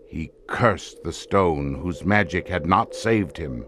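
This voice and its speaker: A man's voice: fairly low pitch, fairly bright tone, slight background noise, full character voice. An actor playing a grave desert tribesman who solemnly tells of an ancient curse in archaic, portentous language for an audio drama. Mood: dramatic, intense. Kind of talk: theatrical audio drama storytelling.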